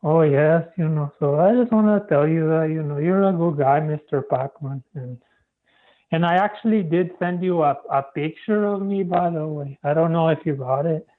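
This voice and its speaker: A man's voice: a little high, normal speed. A male caller on a call-in show, talking hesitantly and padding every phrase with "you know". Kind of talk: call-in phone chat. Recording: tinny phone line.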